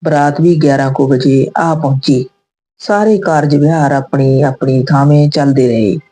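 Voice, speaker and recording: Low, mellow woman storyteller. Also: measured pace, slightly rough. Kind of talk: scripted narration of an emotional moral story.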